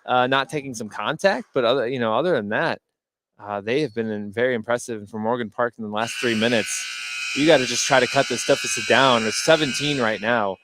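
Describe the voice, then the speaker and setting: Male color commentator, medium pitch, conversational and halting with repeated words, live gym broadcast with light background noise. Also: a bit bright. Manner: halting, repeats words while forming points. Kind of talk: live conversational sports analysis. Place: indoor basketball gym.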